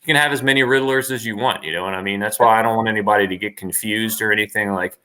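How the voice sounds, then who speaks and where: A man's voice: lowish voice, very fast, bright, forceful tone. A man talking casually as an interview guest, rambling and enthusiastic in a slangy way.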